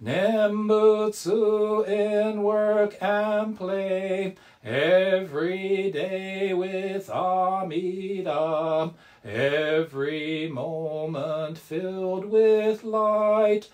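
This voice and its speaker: Very slow, measured devotional chanting voice. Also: mid-range voice, fairly even intonation, a little mellow. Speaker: Buddhist service leader.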